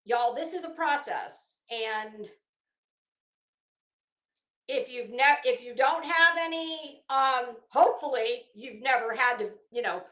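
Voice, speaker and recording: A high-voiced woman delivers a casual commentary rant at a very unhurried pace. She is blunt and profane, leaning hard on words and breaking off haltingly. The sound is roomy, with clear echo around her voice. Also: a bit bright. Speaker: online commentary host.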